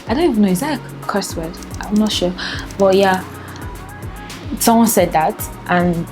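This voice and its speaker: A young Nigerian woman with a Nigerian accent, chatting casually to the camera in a vlog, laughing often and filling her talk with "like". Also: lowish voice. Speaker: vlogger.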